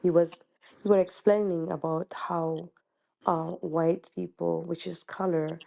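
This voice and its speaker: A woman from Ethiopia with an Ethiopian accent, calling in with a question and speaking haltingly, searching for words. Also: fairly low pitch, level tone, creaky, warm tone. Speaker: caller.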